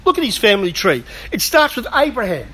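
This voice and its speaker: A middle-aged man preaching a sermon in a conversational way, with humorous asides, slightly hoarse as he is losing his voice. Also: sits high, huge pitch swings, fairly quick, bright, forceful tone, a little hiss or hum. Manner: conversational, self-deprecating humour, frequent asides. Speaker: pastor.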